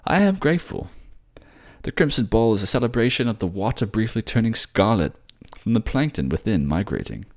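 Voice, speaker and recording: A man's voice: lowish voice, very animated, a little fast, voice pushed up. A man playing a flamboyant, cheeky, affectionate nobleman in a fantasy audio drama, effusive and teasing. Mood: warm, admiring. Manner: effusive, teasing, calls listener pet names.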